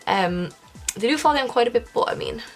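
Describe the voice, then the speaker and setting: A young woman, nasal and congested, chatting casually through a makeup tutorial, now and then dropping into a soft whisper. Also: on the high side, animated, fairly quick, faint music behind. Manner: chatty, self-correcting, breaks into soft whispered asides. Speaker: makeup vlogger. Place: indoors at home, filming a close-up makeup tutorial.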